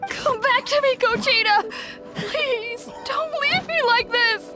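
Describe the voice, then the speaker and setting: A woman's voice: very high-pitched, slow, pushed and bright, faint music behind, heavily acted. A woman playing a distraught young villager in a fantasy game, crying and pleading. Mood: anguished pleading. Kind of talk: video game character acting.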